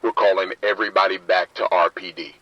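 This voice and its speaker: A deep police radio caller. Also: male voice, level tone, no room sound. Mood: urgent. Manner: brisk, commanding. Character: male police officer calling over the radio. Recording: lo-fi radio transmission.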